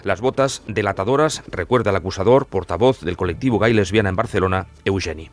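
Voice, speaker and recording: A man's voice: deep voice, brisk. A man hosting a true-crime podcast with scripted narration in literary, dramatic phrasing.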